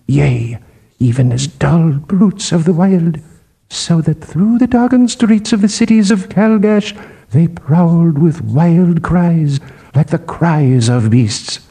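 A man's voice: on the high side, slow, gravelly, dark tone, slightly rough, full character voice. A man voicing a fervent apostle who declaims doomsday scripture in archaic, sing-song tones for a storybook. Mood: solemn, ominous.

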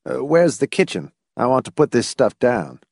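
A man's voice: medium pitch, very animated, normal speed, darkish tone, no room sound, studio quality, pitched above the performer's own voice. A man voicing a defensive, uneasy character with a mocking edge in an audiobook. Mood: cautious, apologetic. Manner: husky, half-apologetic or mocking.

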